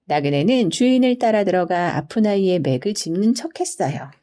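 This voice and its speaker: A woman narrating scripted bedtime folktales for children in an expressive storyteller's manner. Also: lowish voice, brisk. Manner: storyteller's expressive, polite explaining delivery.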